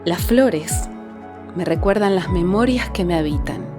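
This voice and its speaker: A woman's voice: fairly low pitch, animated, measured pace. Reflective woman's voice-over over music. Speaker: narrator. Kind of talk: poetic scripted voice-over.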